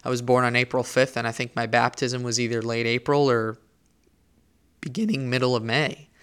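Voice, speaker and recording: A man's voice: mid-range voice, level tone, moderate pace, fairly bright tone, clean tone. A young man from Spain hosting a solo faith podcast, speaking earnestly and reflectively, often restarting and repeating words as he thinks aloud. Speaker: podcast host.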